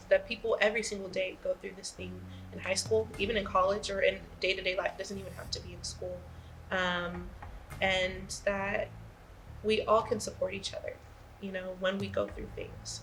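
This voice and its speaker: A woman's voice: medium pitch, a bit bright, low-quality recording. A young woman answers interview questions earnestly in a middling register. Faint noise sits behind her voice. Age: young adult. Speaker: student actor being interviewed.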